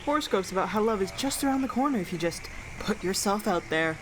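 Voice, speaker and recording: A woman's voice: medium pitch, lively intonation, fast talker, close to an everyday voice. A young woman playing a sardonic, blunt homeless survivor of the apocalypse in an audio drama. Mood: bitter, sardonic. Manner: sarcastic, blunt, slangy. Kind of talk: radio drama monologue. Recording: clearly noisy, ambient background noise.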